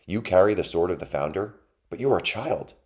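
A man's voice: deep voice, lively intonation, a little fast, a little mellow, voice pushed up. A man voicing a noble, humble male turtle knight with a formal, chivalrous way of speaking. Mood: restrained.

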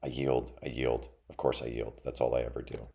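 A man's voice: rumbling low, fairly quick, a little mellow. A man voicing a tiny, defiant young male mouse knight who speaks in a haughty, challenging way. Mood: defeated.

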